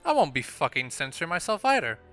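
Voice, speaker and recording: A man gives casual gameplay commentary, explaining things in a rambling fashion. His voice is strikingly bright and clean, with some music behind it. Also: a little high, very animated, fast. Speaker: gaming channel host.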